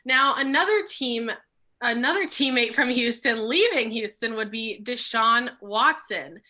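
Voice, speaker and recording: A young woman co-hosting a casual sports podcast, slightly raspy from a cold, conversational and asking her guests questions. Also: a little high, expressive, unhurried. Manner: conversational, asks questions, trails off quietly. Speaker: podcast co-host.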